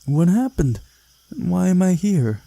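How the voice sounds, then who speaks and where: A man's voice: high-pitched, big pitch swings, measured pace, creaky, dark tone, no room sound, pitched above the performer's own voice, exaggerated voice. A confused, hesitant teenage boy in a visual novel, as a man reads him, earnest and a little bookish. The tone is raised and bewildered, with some huskiness. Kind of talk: visual novel character read-aloud.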